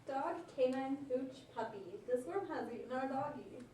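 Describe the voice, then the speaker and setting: Woman playing a naive puppet princess, high voice, literal recited delivery, echoey room. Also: normal speed, dark tone, natural voice. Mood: eager, plaintive. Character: Lisa, dim but eager princess adventurer. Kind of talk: amateur puppet show performance. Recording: echoey room, distant mic, faint noise.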